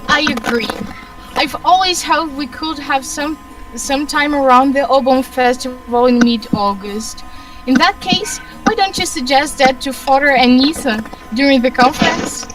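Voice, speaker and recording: High-voiced, assertive woman playing a family aunt. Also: measured pace, fairly bright tone, distant mic, faint music behind, low-quality recording. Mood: bickering. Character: assertive, bickering adult woman of a wealthy family.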